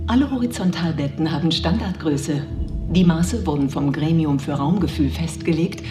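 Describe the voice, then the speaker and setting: An actress playing an impersonal, officious machine attendant in a German radio drama. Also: female voice, sits low, normal speed, roomy sound, over music, close to an everyday voice. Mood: formal, impersonal.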